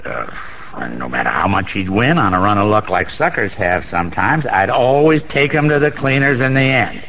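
An actor playing a boozy, sentimental, boastful small-time gambler in a radio drama, rambling in old-fashioned street slang with a gravelly voice. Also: male voice, fairly low pitch, very animated, normal speed, fairly bright tone. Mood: boastful, fond. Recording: faint background noise.

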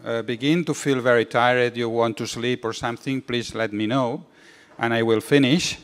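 A man's voice: mid-range voice, fairly even intonation, moderate pace. A middle-aged man giving an informal seminar lecture in English on a handheld mic, often repeating words as he searches for them. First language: Catalan. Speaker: guest lecturer. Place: large university lecture hall, indoors. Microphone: handheld microphone. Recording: reverberant lecture hall.

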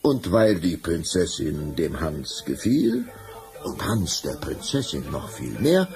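A man with a deep voice tells a fairy tale expressively. His pitch moves very widely, and prominent music plays behind him. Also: slow pace. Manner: expressive, dramatic storytelling. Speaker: narrator. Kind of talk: scripted fairy-tale storytelling.

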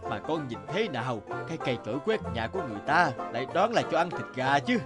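A man's voice: medium pitch, very animated, fast, loud music behind the voice, natural voice. An actor playing a stern old village physician who admonishes and instructs his apprentice in a cartoon. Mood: scolding. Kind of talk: Vietnamese children's cartoon dubbing.

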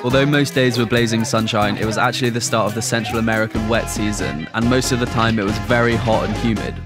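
A young man, the boat's captain, calmly narrating a sailing vlog in a scripted voice-over. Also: fairly low pitch, quick pace, loud music behind the voice.

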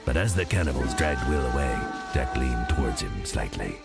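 Extremely deep, gravelly male narrator. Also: big pitch swings. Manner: measured, dramatic, suspenseful. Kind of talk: dramatic scripted storybook narration. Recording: loud music under the voice.

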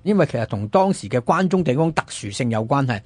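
A male radio host talks fast in colloquial Hong Kong Cantonese. His voice is husky and recorded dry and close, in a conversational, explanatory style over faint music. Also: mid-range voice, animated, darkish tone, no room sound. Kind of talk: conversational history discussion.

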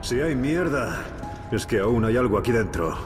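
A man's voice: mid-range voice, very animated, normal speed, close to an everyday voice. An actor playing a stern middle-aged colonel who gives clipped, authoritative orders to his squad. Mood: commanding. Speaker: squad commander. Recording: echoey game audio from afar with music.

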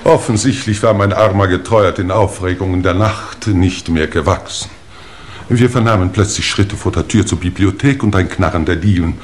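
An actor playing a dignified, pompous aging English lord in a 1950s German radio play, with stately, formal delivery. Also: male voice, low voice, normal speed, slight background noise, close to an everyday voice. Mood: solemn.

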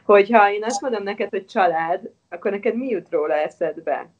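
Woman in her 30s, interviewer, polite, video call from home, slightly dull audio. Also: medium pitch. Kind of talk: interview questions. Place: indoor room with a brick wall. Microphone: webcam on a video call.

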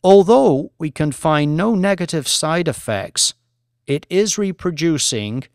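An earnest scientist played by a man delivers research in a formal, measured lecture for an audiobook. The words are clinical and deliberate, recorded close and dry. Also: fairly high pitch, dry recording, voice pushed up.

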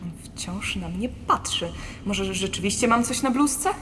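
A woman acting a tired, distracted young mother, patient and hushing, in a radio play. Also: medium pitch, animated, a little slow, slight background noise. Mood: preoccupied.

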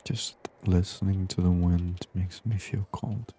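A man giving soft-spoken ASMR gaming commentary, murmuring as he thinks aloud into a close microphone. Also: deep voice, steady tone, measured pace, a little hiss or hum. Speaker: gaming video host. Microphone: close binaural microphone.

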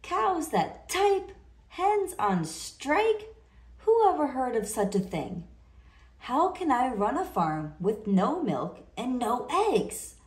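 Woman voicing an exasperated farmer, loud swooping protests. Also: sits high, sing-song, takes it slowly, voice pushed up, heavily acted. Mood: outraged. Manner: emphatic, indignant exclaiming. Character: exasperated, indignant male farmer. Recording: roomy indoor echo.

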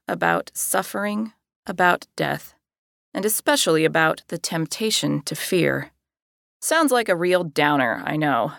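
Woman reading an audiobook, low-pitched. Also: creaky, fairly bright tone, dry recording, studio quality. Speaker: author reading her own audiobook. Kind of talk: scripted audiobook narration.

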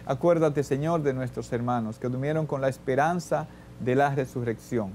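An older man, a priest, reciting the Mass prayers at the altar in a solemn, measured way. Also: mid-range voice, a little mellow, slight background noise. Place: church sanctuary, at the altar.

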